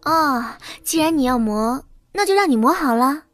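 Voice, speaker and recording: An actress playing a sly, feisty young princess consort who feigns innocence in an audio drama, sharp-tongued beneath it. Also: female voice, high-pitched, animated, normal speed, faint music behind. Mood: mock innocent.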